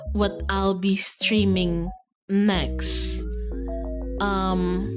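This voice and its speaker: A woman streamer chatting casually with her viewers in a playful, giggly, rambling manner. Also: medium pitch. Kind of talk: casual livestream chat with viewers. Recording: music behind the voice. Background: background music.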